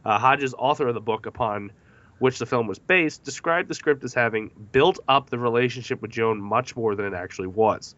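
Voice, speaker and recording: A man's voice: mid-range voice, moderate pace, a bit bright, no echo, dull audio. A man hosting a podcast movie discussion, reading out facts from notes between questions to the panel. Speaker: podcast host.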